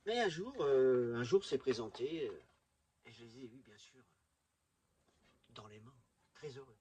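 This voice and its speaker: A man's voice: mid-range voice, sing-song, a little slow, muffled recording, a crowd in the background. A middle-aged man chatting enthusiastically and informally to viewers as he presents a hobby vlog. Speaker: video presenter showing a collection.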